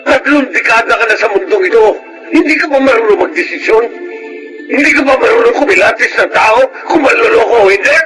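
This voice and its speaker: A man's voice: very high-pitched, a little fast, pushed and bright, faint music behind, rough recording. An actor playing a furious, shamed older father in a Tagalog radio drama, berating and exclamatory, with a Filipino accent. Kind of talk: Tagalog audio drama acting.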